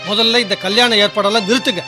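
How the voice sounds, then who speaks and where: A man's voice: high-pitched, steady tone, fast, music in the background, natural voice. A man acting in a Tamil radio drama as an agitated, proud son, speaking in an indignant, insistent way. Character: agitated, proud son objecting to his sister's wedding.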